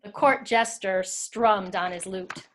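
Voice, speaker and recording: A woman's voice: medium pitch, fairly even intonation, takes it slowly, a little hiss or hum. A woman reading scripted story narration as a narrator in a readers theater.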